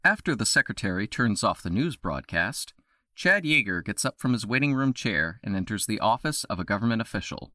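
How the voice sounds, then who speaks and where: Brief: male narrator, bright voice, animated pitch, dry close mic. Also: brisk, no room sound. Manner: steady storytelling. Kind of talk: scripted narration.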